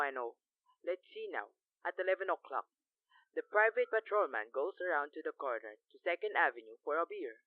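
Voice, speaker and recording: Cool criminal plotter played by a young man in a radio play, highish voice, thin phone-line sound. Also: no room sound. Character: businesslike criminal plotting coolly over the telephone. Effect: telephone filter. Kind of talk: radio drama acting. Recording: dull, band-limited telephone-line sound.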